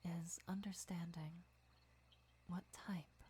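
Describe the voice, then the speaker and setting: A woman playing a gentle, devoted vampire lover who teaches patiently in a breathy, measured whisper for an ASMR roleplay. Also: on the low side, fairly even intonation, full character voice. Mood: calm, instructive. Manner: measured, deliberate, soft-spoken explaining.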